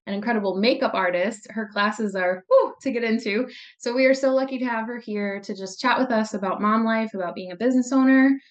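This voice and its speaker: A woman hosting an interview show for moms over a video call, bubbly and gushing about her guest. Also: medium pitch. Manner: bubbly, gushing, lots of likes. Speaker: podcast host.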